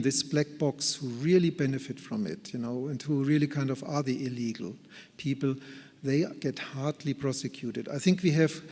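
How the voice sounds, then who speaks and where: A man answering questions as a speaker at a conference, in an earnest, deliberate and carefully hedged way. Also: mid-range voice, darkish tone. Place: large conference hall. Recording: reverberant conference hall.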